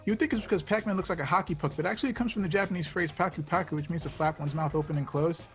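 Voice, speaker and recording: Man acting young male slacker, awkward, defensive, deadpan, casual table read. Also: fairly high pitch, very fast, soft background music. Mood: earnest, rambling.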